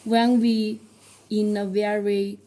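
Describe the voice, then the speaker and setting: A woman who speaks English as a second language, presenting a product tutorial in a halting, step-by-step way. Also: medium pitch, little pitch movement, mellow. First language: not English. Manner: halting, step-by-step delivery with non-native phrasing.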